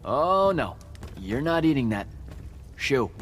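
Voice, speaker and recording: An actor playing an irreverent young street thief in a video game, bantering casually and sardonically. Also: male voice, medium pitch, very animated, slow, fairly bright tone, slight background noise. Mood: wry.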